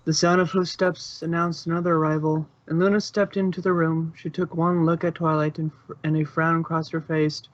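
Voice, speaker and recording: A woman's voice: sits low, level tone, normal speed, few pauses. A woman reading scripted story narration into a close microphone. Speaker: fan fiction narrator. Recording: slightly dull, close dry recording.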